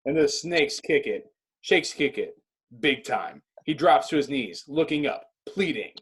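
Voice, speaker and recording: A man with a husky voice reading stage directions as dramatic scripted narration. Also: fairly high pitch, animated, measured pace.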